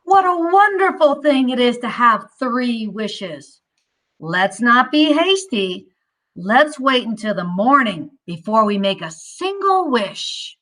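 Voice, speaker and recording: A woman's voice: fairly high pitch, very animated, slow pace, a bit bright, exaggerated voice. A woman playing a woodcutter's sensible but nagging wife in a storybook read-aloud, exclaiming expressively. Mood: pleased, sensible.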